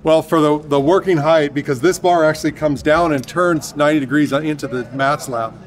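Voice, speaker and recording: Gray-haired builder explaining on site. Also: male voice, fairly high pitch, brisk. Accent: Northern Californian. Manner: steady, technical, explaining step by step. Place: outdoor construction site by a basement form wall.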